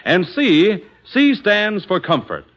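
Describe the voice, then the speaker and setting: A man's voice: high voice, very animated, slow pace, fairly bright tone. An American man announcing in the emphatic, folksy sales style of 1940s radio advertising. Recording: dull vintage 1940s radio recording.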